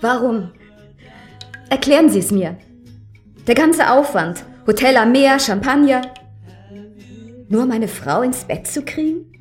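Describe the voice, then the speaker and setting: A woman's voice: high voice, lively intonation, unhurried, long pauses, faint music behind. An actress in a German radio drama playing a bitter avenging woman who confronts a seducer with sarcastic, accusing, pointed questions. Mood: cutting, accusing.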